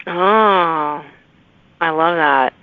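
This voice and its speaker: A woman's voice: deep voice, drawn-out words, no room sound. A woman hosting a conversational author interview, responding in an encouraging, affirming way. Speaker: podcast host interviewing authors. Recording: narrow phone-like sound, dry.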